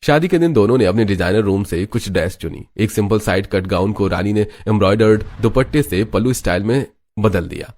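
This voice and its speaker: A man reading scripted narration for an animated family drama story as the storyteller. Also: lowish voice, brisk, few pauses.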